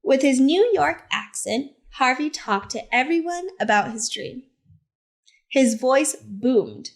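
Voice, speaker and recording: A woman reading a picture book aloud to children as a teacher librarian, in simple, deliberate phrases with short asides. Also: high-pitched, lively intonation. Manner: simple, deliberate phrasing addressed to young listeners.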